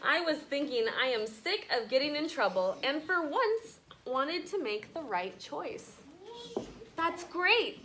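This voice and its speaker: Female schoolteacher, high and bright, expressive story read-aloud and class chat, some background noise. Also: slow. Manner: animated, conversational, checking in with questions. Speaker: elementary school teacher. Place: classroom with children.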